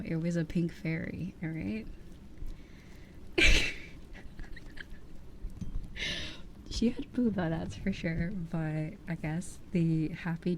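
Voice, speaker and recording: A woman in her twenties chatting casually as an ASMR streamer in a soft, breathy voice that often drops to a whisper, rambling candidly close to the microphone. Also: low-pitched, background noise. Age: 20s. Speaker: ASMR livestream host. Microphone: close ear-to-ear ASMR microphone.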